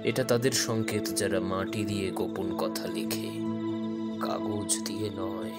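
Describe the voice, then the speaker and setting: An actor playing an enigmatic male investigator who speaks gravely and deliberately in riddles in an audio thriller. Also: low voice, steady tone. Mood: cryptic, grave. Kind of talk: Bengali audio thriller acting. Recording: voice over music bed.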